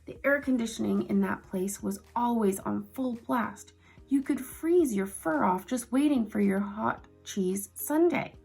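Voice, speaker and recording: A woman voicing a boastful, excitable male mouse cousin who squeaks and teases. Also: unhurried, gravelly, slightly rough, soft background music, exaggerated voice, rough recording. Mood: whining. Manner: squeaky, teasing.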